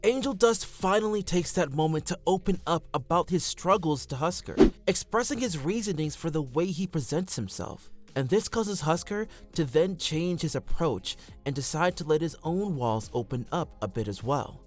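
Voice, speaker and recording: A man's voice: on the high side, lively intonation, normal speed, few pauses, soft background music. A man reading scripted fan commentary as a voice-over for a video essay, close on the microphone.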